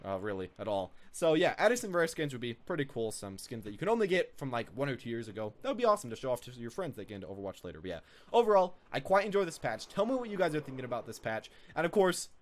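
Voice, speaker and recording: Fast, lively young man commentating games. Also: mid-range voice. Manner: rambling, informal. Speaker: gaming video creator. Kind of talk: casual game commentary.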